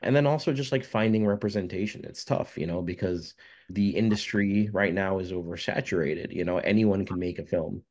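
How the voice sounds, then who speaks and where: Casting: very low-voiced young man from jamaica, rambling interview guest. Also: fairly quick, darkish tone. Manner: rambling, many fillers and restarts. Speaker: filmmaker guest. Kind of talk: casual interview answers.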